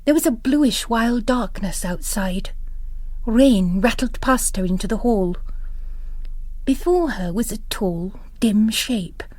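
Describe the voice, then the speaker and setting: A woman's voice: on the high side, lively intonation, slow, darkish tone, no room sound. Woman reading a novel aloud, takes her time, really dry close sound. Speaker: narrator. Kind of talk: scripted literary narration.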